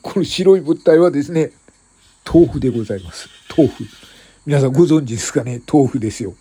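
A man's voice: medium pitch, brisk, dark tone, slightly rough. A man playing a tipsy, flustered, cheerful fellow who rambles and stammers over-politely in an improvised comic skit. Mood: flustered, defensive. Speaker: show host.

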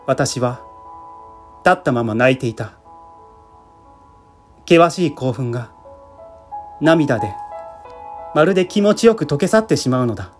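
A man's voice: medium pitch, animated, unhurried, pauses often. A man reading a literary short story aloud as a dramatic narration. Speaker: amateur theatre troupe leader reading aloud. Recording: music bed behind the voice.

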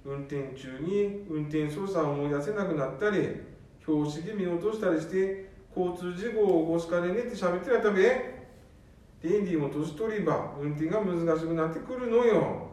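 A man's voice: sits high, moderate pace, darkish tone, lightly acted. A man playing an earnest eldest son who pleads with his father in a Nanbu dialect. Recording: echoey room, distant mic.

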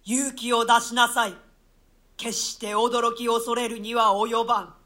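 A man's voice: very high-pitched, level tone, bright, forceful tone, full character voice. A man voicing a vengeful ghost. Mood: encouraging. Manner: slow, solemn cursing. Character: vengeful ghost of a murdered nobleman. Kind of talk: staged radio-play reading.

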